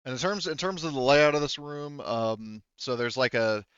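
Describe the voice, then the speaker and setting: A man's voice: mid-range voice, moderate pace, fairly bright tone, clean tone, no echo, lo-fi sound. A man speaking as game master in a casual, rambling way, explaining rules and describing scenes and often correcting himself.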